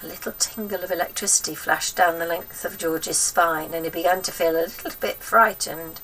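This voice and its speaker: A woman narrating a children's story in an expressive, scripted reading style. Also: fairly low pitch, normal speed. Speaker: storybook reader. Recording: slightly distant mic, faint noise.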